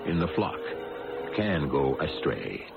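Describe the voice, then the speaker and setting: A man with a low, animated voice hosts an old-time radio mystery. His narration is wry, florid and theatrical. It comes through a tinny, narrow recording. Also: takes it slowly, gravelly. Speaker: host narrator. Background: loud music.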